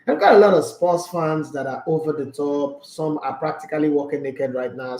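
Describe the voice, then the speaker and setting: A man's voice: a little high, a little mellow. A man with a Nigerian accent hosting a sports talk show, chatty and boastful, with pidgin asides. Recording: roomy echo.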